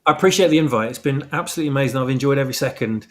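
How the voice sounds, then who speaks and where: A man's voice: medium pitch, very fast, fairly bright tone. A man chatting casually as a guest on a live stream, polite and modest in how he talks. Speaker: guest, audio drama creator.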